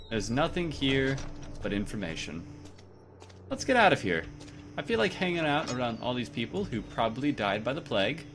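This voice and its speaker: A man playing a horror game and chatting casually, self-mocking and talking to his character. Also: mid-range voice. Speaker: let's play gamer. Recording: game music behind.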